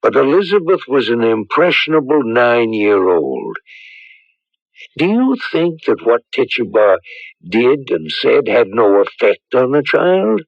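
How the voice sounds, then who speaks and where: An actor playing a grave, hesitant village priest in a radio drama, solemn and suspicious. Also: male voice, mid-range voice, no echo, exaggerated voice. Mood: earnest, probing. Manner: halting, solemn, clears throat. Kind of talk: 1980s radio drama acting. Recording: dull lo-fi radio broadcast recording.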